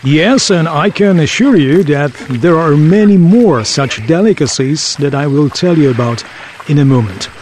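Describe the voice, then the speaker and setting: A man's voice: fairly high pitch, lively intonation, moderate pace, darkish tone, a little hiss or hum, natural voice. A man playing a knowledgeable, obliging local tour guide in a scripted audio drama, speaking in a formal, presentational way. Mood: informative, obliging.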